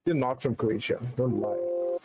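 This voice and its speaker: A man's voice: medium pitch, a little slow, a little mellow, slight background noise. A young man from India, a philosophy student who challenges and repeats his questions, mocking at times. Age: young adult. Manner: repetitive, challenging, mocking with laughs. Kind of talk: casual debate on video chat.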